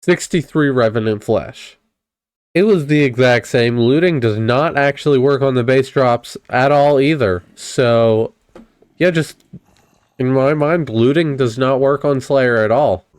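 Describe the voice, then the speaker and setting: A man's voice: medium pitch, measured pace, darkish tone, smooth, no room sound. A young man recovering from a cold, casually narrating a video game test as host of his channel and explaining each step in a chatty, upbeat way. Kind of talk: casual gameplay commentary.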